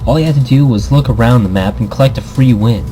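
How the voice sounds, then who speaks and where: A man voicing a sharp-tongued female pro gamer mentor in a visual novel, blunt, sarcastic and critical, with music behind. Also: medium pitch, quick pace, a little hiss or hum, lightly acted. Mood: exasperated, critical.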